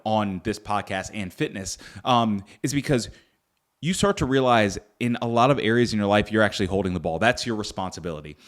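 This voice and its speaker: A young man from Northern Virginia hosting a solo podcast, earnest and reflective, with frequent fillers and restarts. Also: on the low side. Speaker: podcast host. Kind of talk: casual solo podcast talk.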